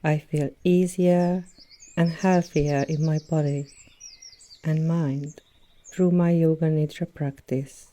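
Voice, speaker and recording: A woman leading a guided yoga nidra meditation as an instructor, speaking in a soothing, gentle manner with long pauses between phrases. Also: sits low, fairly even intonation. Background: birdsong and nature sounds.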